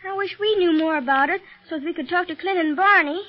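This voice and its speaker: A woman's voice: very high-pitched, quick pace. A woman playing a worried, pleading young friend in a 1930s radio adventure serial. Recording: muffled 1930s radio recording.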